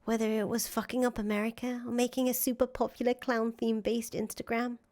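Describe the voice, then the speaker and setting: Woman acting a higher-voiced, supportive young confidante. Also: flat delivery, brisk, darkish tone, close to an everyday voice. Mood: tender, proud. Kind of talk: voiced reading of visual novel dialogue. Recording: music playing under the voice.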